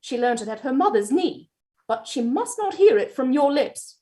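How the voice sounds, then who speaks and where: A prim housekeeper acted by a woman in a stage play, high-pitched and very animated. She is proper and deferential, yet firmly insistent. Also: normal speed. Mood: firm reproof. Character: prim, firm, respectful housekeeper scolding her master.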